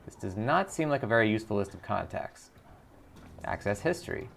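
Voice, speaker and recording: A man's voice: lowish voice, big pitch swings, a little fast, creaky, fairly bright tone, a little hiss or hum. A man hosting a gaming video, casually commenting and reading game text aloud, often muttering to himself as he thinks.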